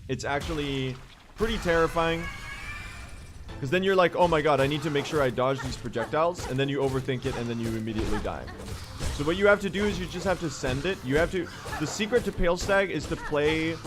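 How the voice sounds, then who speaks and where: Hoarse young man chatting to his stream. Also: mid-range voice, fairly quick. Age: young adult. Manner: excitable, rambling, addresses chat directly. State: hoarse, worn-out voice. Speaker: speedrun streamer. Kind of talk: casual livestream chat to viewers.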